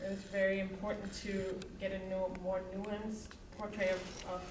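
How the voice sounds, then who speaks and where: A woman's voice: medium pitch, level tone, normal speed. A woman from Germany, a film director, answering audience questions earnestly. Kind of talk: festival Q&A answers. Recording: echoey hall, distant mic, noise.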